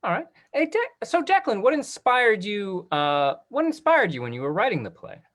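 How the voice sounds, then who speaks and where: Lively, encouraging male moderator. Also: sits high, moderate pace. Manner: encouraging, affirming. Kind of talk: casual hosted discussion.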